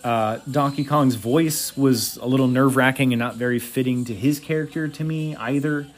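A man's voice: mid-range voice, fairly even intonation, moderate pace, soft background music. Blunt man reviewing cartoons. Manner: blunt, opinionated, crude humor. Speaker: podcast host. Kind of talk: casual solo review podcast.

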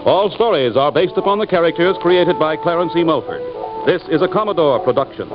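A man's voice: on the high side, animated, fairly quick, music in the background. A man reading scripted closing credits as an announcer on an old-time radio show. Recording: dull vintage radio transcription.

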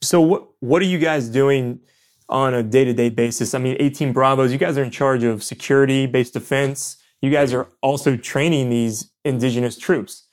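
A man's voice: mid-range voice, fairly even intonation, moderate pace. Man asking long, informed questions. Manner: knowledgeable, prompting with long questions. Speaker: podcast host. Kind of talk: casual interview.

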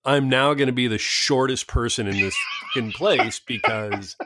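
A man's voice: medium pitch, normal speed, background noise. A man in casual conversation, joking bluntly and swearing freely. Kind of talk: casual chat.

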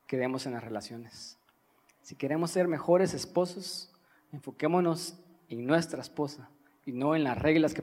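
Guatemalan man preaching, slightly high-set voice. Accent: Guatemalan Spanish. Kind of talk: sermon.

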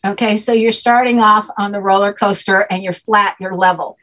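A woman's voice: mid-range voice, fairly even intonation, moderate pace, fairly bright tone. A woman guest who explains at length and keeps checking that the listener follows along. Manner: patient, checks understanding with repeated "okay?". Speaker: guest teaching a name system. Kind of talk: explanatory conversation.

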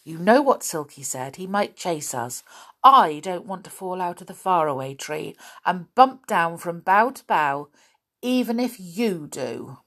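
A woman's voice: fairly low pitch, big pitch swings, measured pace, fairly bright tone, exaggerated voice. A woman voicing Beth, a cautious young girl. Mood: worried. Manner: pleading, fretful.

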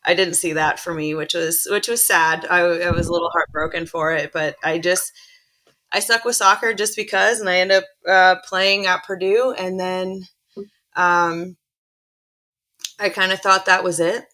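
A young woman, a professional soccer player, chatting casually about her background in an interview, telling stories in a relaxed way. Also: fairly low pitch, moderate pace. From born in Chile, grew up in Illinois and Indiana. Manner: chatty, relaxed storytelling with frequent restarts.

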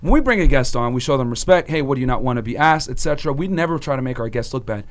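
A man's voice: mid-range voice, expressive, rapid-fire. A young American man co-hosting a podcast, telling a story emphatically and acting out quotes in a heated discussion. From United States. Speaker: podcast co-host.

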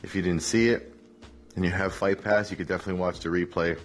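A man, hungover, hosting a casual solo podcast recap in a relaxed, rambling way with many fillers. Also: low voice, fast, slight background noise. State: hungover, rough voice. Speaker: podcast host, MMA analyst.

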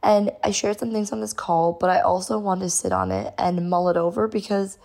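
A woman hosting her own podcast, talking casually and effusively with a hoarse voice she has lost. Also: medium pitch, animated, a little fast, darkish tone. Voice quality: gravelly, strained. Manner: bubbly, effusive. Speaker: podcast host.

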